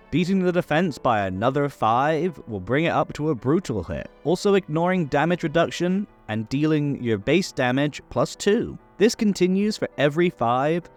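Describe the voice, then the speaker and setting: A man presenting a tabletop game rules guide in a methodical, scripted explainer style. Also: medium pitch. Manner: clear, methodical instructional delivery. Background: background music.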